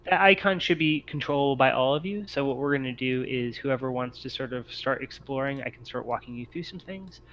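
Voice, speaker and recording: A man acting as game master in an online tabletop game, narrating scenes in a halting, improvised way. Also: mid-range voice, a bit bright. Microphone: online voice call. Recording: muffled, noisy online voice chat.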